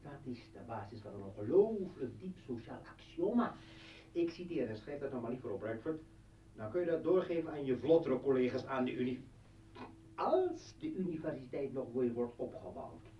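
An actor playing a bitter, drunken revolutionary leader and ex-husband in a radio play, taunting and theatrical. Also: male voice, mid-range voice, sing-song, normal speed, distant mic, slight background noise, natural voice. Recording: dull, roomy old radio recording.

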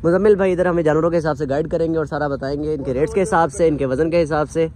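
A man's voice: a little high, fairly even intonation, rapid-fire, darkish tone. A man interviewing a cattle seller outdoors at a market, repeating the prices for his viewers. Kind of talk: on-location interview.

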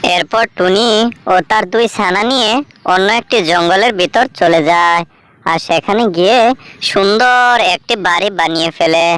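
A woman's voice: lowish voice, measured pace, fairly bright tone, clear, resonant tone, no echo. A woman narrating a scripted children's story as a storyteller.

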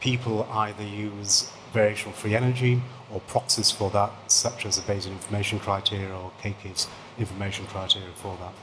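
A soft-spoken middle-aged Englishman with a lowish voice speaks on an academic panel, stumbling through restarts as he explains. His voice comes through a table mic in an echoey seminar room with steady background hiss. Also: brisk, few pauses. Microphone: table microphone.

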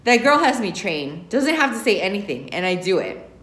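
Expressive American woman reading aloud, echoey room. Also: mid-range voice, moderate pace. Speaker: reader, teacher. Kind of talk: expressive read-aloud of a young adult novel. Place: indoor classroom. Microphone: camera a short distance away. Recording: roomy classroom echo.

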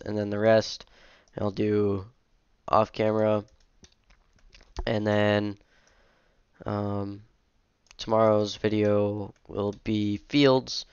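Young man with a cold, slow rambling commentary, lowish voice, dull headset sound. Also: clean tone, no room sound. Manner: rambling, self-correcting, many fillers. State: has a cold, sniffly. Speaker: modding streamer. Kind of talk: casual commentary while editing a map. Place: home room at a computer.

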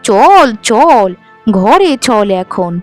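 Sly old cartoon great-aunt, acted by a woman, high swooping voice, slow, sing-song, cajoling. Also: big pitch swings, faint music behind, exaggerated voice. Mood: reassuring. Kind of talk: melodramatic Bengali cartoon dialogue.

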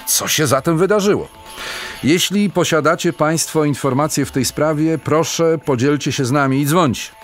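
A man's voice: a little high, normal speed, soft background music, natural voice. A man playing a polite, probing radio phone-in host in a theatrical Christmas radio play. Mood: welcoming, intrigued. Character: lively, inquisitive male radio phone-in host.

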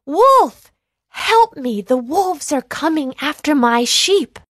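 A woman's voice: high voice, big pitch swings, slow, dry recording, studio quality, voice pushed up, full character voice. A woman voicing a young shepherd boy in a children's storybook, crying out earnestly. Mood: alarmed shouting. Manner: crying out, exclamatory. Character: young shepherd boy, frightened, pleading, mischievous.

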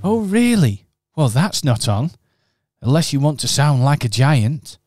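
A gentle, well-mannered teddy bear from a radio pantomime, put on by a woman. He speaks with a lilting, lively voice and a mildly wry politeness. The sound is dry and close. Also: deep voice, unhurried, no room sound, voice pushed down, exaggerated voice. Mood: puzzled.

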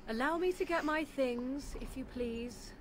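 A woman's voice: high-pitched, unhurried. A woman voicing a proud, aloof young witch in a fantasy game, speaking formally with arch sarcasm. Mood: coolly polite. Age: young adult. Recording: game audio over noisy outdoor ambience.

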